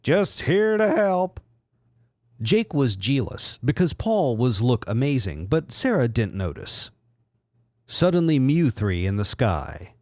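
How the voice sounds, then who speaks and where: A man's voice: medium pitch, sing-song, unhurried, a little mellow, dry recording, clean studio sound. A man giving a sardonic, mock-dramatic narration with a theatrical delivery. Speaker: reader and commentator.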